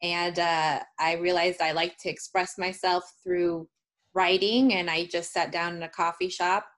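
A woman's voice: fairly low pitch, flat delivery, unhurried, a bit bright. A woman in her thirties with an American accent, speaking as a guest in a casual interview, telling things in a chatty, informal way. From United States (Seattle area).

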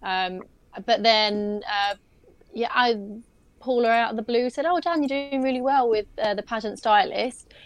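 A woman's voice: fairly high pitch, a little slow, dry recording, dull audio. A young woman, a pageant stylist, chatting casually on a video call podcast, rambling with lots of fillers and restarts.

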